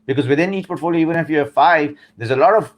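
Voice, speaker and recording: A man with a Pakistani accent who talks fast and bluntly, and his speech comes out quick and punchy. As a guest in a casual interview, he gives emphatic advice and switches into Urdu phrases mid-sentence. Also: fairly high pitch. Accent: Pakistani English.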